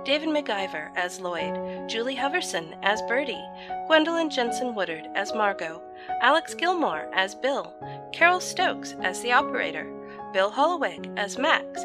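A woman with a medium-pitched voice reads scripted credits as an announcer. Loud music plays underneath her throughout.

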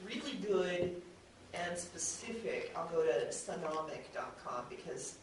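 A woman's voice: lowish voice, lively intonation, unhurried, heavy reverb, distant mic. A woman speaks as a chatty panelist, explaining things informally with examples. The room rings heavily around her, and she sits away from the mic with faint noise in the recording. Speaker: audio drama producer on a panel.

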